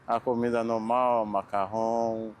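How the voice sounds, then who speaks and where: A man with a Haitian accent, telling his story haltingly and searching for words. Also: on the low side, level tone, slight background noise. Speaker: interviewee. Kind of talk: documentary interview.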